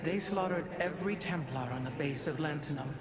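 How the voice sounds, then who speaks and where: A man's voice: fairly high pitch, brisk, heavy background noise, close to an everyday voice. An actor playing a skeptical, wary male alien warrior in a video game cutscene, speaking formally and pointedly. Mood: disapproving.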